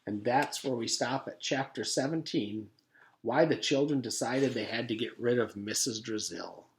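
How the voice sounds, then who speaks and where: A man's voice: medium pitch, normal speed, echoey room. A man reading a children's story aloud as a teacher would to his class. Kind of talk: storybook read-aloud with character voices.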